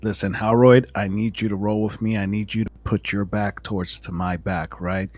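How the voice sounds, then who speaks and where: A man's voice: fairly low pitch, steady tone, moderate pace, no room sound. A man playing a tabletop roleplaying game in character, speaking in a commanding, instructional manner.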